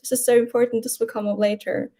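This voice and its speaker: Hesitant German-native woman, mellow voice. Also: on the high side, flat delivery, quick pace. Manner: hesitant, with frequent ums. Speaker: book club member. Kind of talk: casual video call chat.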